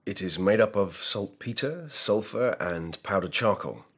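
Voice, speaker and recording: An actor playing a grave, dutiful older court policeman in a radio drama, husky and measured, speaking formally. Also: fairly low pitch, animated, fairly bright tone, full character voice. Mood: formal, cautious. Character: grave, dutiful ageing Japanese court policeman, physically frail.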